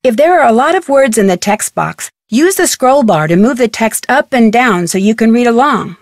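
An actress with an American accent playing a curious, friendly young woman detective in a video game, chipper and clearly scripted. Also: on the high side, expressive, moderate pace, no room sound, clean studio sound. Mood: friendly, instructive. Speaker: video game heroine and tutorial guide. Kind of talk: scripted game dialogue and tutorial narration.

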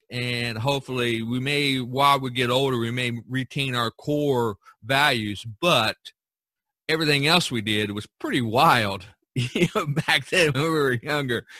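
A mature male podcast host with a bright voice and a dry, close sound. He talks at length in a casual, rambling way, leaning on repeated phrases to drive things home. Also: medium pitch, dry recording. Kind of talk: casual podcast talk, long monologue.

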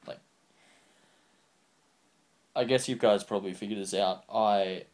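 A young man signing off his vlog, rambling off the cuff and earnest, his voice getting a bit croaky. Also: lowish voice, fairly even intonation, slow pace, a little mellow. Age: young adult. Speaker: channel host. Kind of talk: unscripted vlog sign-off. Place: small room indoors, on a couch.

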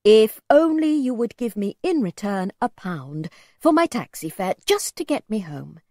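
A woman voicing a gentle, pleading, very old gentleman in a storybook, well-spoken and old-fashioned in his courtesy. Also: a little high, big pitch swings, a little slow, dry recording, studio quality. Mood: coaxing. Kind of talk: storybook character voice.

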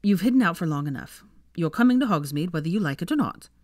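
A woman's voice: on the low side, expressive, fast, a little mellow, no room sound, clean studio sound, close to an everyday voice. A woman voicing a stern, incredulous young male wizard in a storybook reading. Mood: firm. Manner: blunt, incredulous.

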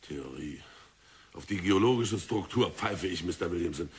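A man's voice: sits low, animated, moderate pace, slightly rough, exaggerated voice. An actor playing a stern, worried male bank director in an old radio play. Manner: stern, grudging, fretful. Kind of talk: 1960s radio drama acting.

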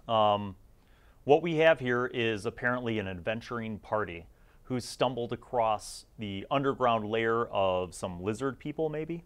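A middle-aged man with a conversational, reflective manner, hosting a review series in casual commentary. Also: on the low side. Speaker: host of a gaming review series.

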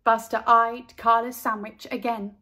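A woman narrating a children's storybook as a storyteller, deliberate and expressive in her delivery. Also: fairly high pitch.

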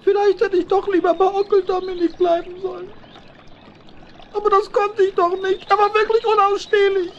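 Very high-pitched little boy hedgehog. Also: level tone, normal speed, lo-fi sound, slight background noise. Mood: forlorn. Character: naive, plaintive little young male hedgehog runaway. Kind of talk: children's radio play acting.

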